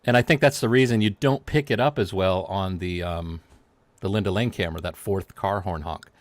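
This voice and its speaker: A man with a fairly low voice talks casually as a guest, wandering through a story and restarting his sentences as he goes. The sound is dry and close, with no room echo. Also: normal speed. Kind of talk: casual chat.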